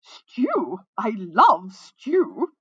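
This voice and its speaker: A woman voicing a gushing, theatrical male minstrel in a storybook, with drawn-out, melodious exclamations. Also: medium pitch, wildly swooping pitch, fairly bright tone, breathy edge, no room sound, lo-fi sound, heavily acted. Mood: grandly effusive. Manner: singsong, drawn-out, effusive exclamations.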